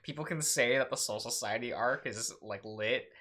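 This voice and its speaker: Bright-voiced young man hosting a game playthrough. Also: medium pitch, moderate pace. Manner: laughing, chatty, stumbles while reading. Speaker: let's play host. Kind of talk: casual let's play chat and reading aloud.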